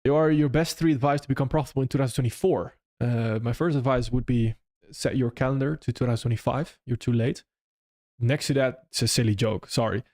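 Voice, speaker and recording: Young non-native English-speaking man, live-stream host answering chat, loose and rambling, mid-pitched voice. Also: a little fast, a little mellow. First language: not English. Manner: loose and conversational, frequent restarts and "if that makes sense".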